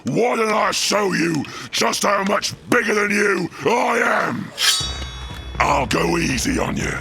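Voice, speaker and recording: An actor playing a big, belligerent ork brute, bellowing rowdy taunts. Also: male voice, high voice, lively intonation, takes it slowly, creaky, full character voice. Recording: loud music underneath.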